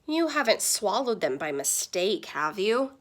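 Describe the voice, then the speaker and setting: A woman's voice: mid-range voice, very animated, unhurried. A woman voicing a concerned, questioning mother in a children's storybook.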